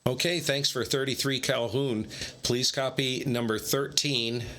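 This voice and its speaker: A man's voice: medium pitch, steady tone, unhurried. A man hosting a live amateur radio stream, mixing slangy, enthusiastic casual commentary with contest exchanges. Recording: clearly noisy room, radio static, clicks.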